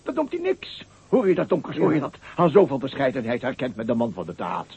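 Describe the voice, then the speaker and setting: A pompous raspy mayor. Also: male voice, mid-range voice, huge pitch swings, brisk, a little mellow, dry recording, muffled recording, exaggerated voice. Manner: pompous, theatrical, stammering. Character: pompous, anxious small-town mayor, middle-aged man. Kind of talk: radio drama acting.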